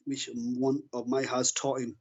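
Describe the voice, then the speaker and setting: A man's voice: mid-range voice, level tone, moderate pace, darkish tone. A man from Asia calling into a debate, defensive and talking over the host. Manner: defensive, talks over the host, repeats words. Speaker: caller. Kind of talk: heated call-in debate. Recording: dull lo-fi call audio.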